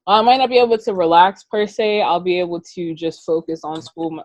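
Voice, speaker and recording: A woman's voice: on the low side, brisk, darkish tone, no room sound. A woman in her twenties from Atlanta, talking casually on a panel with a hesitant manner and many fillers. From Atlanta, Georgia. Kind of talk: casual chat.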